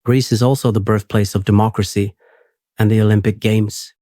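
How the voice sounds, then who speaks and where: Steady, flat-voiced man reading. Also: on the low side, dry recording, clean studio sound. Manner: steady, unhurried, soothing reading. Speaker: sleep podcast host. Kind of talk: scripted narration for sleep.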